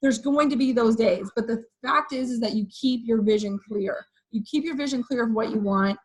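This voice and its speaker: A woman with a hoarse voice giving an energetic motivational pep talk to her sales team, often checking in with "okay" and "you guys". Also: a little high, moderate pace, rough recording. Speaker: direct sales team leader.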